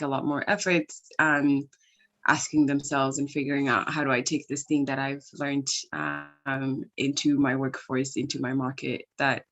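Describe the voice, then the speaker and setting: A woman in her thirties answers questions in a casual podcast chat. She talks in an easy, thoughtful way with frequent ums. Her voice sits notably low. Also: a bit bright. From Kenya and Minnesota. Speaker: ed-tech founder, guest.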